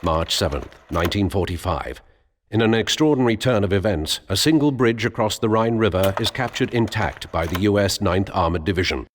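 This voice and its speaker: A man narrating a war history briefing in a solemn, measured, scripted documentary style. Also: on the low side, a little hiss or hum, natural voice. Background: music.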